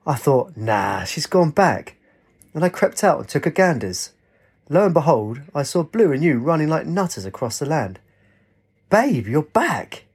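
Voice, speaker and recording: Man voicing slangy, husky laddish raver. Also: fairly high pitch, sing-song, measured pace, darkish tone, pitched above the performer's own voice, exaggerated voice. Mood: excited. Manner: slangy, exclaiming. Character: upbeat laddish raver with slangy banter, easily startled.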